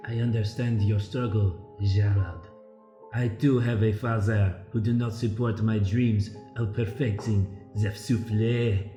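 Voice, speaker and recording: A man's voice: lowish voice, steady tone, a little slow, warm tone, dull audio, lightly acted. A man playing a mournful, florid, theatrical chef in an improvised comic radio play. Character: melodramatic aspiring French chef, mournful widowed man. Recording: roomy, faint music underneath.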